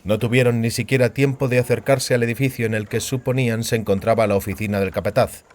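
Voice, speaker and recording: A man's voice: mid-range voice, steady tone, fast. A man narrating a fantasy novel in a scripted, literary audiobook style. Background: music.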